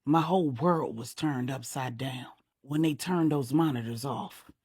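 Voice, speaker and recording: A woman voicing an older man, a steady, grieving stepfather, speaking earnestly and pleadingly in a storytelling reading. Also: rumbling low, unhurried, husky, dry recording, clean studio sound, heavily acted. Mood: tender grieving. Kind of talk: audiobook-style storytelling.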